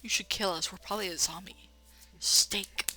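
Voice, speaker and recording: Female let's player, bright tone, expressive narration with playful, blunt running commentary. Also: mid-range voice, normal speed, rough recording. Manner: expressive narration broken by blunt sassy asides. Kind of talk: reading a visual novel aloud with playful running commentary.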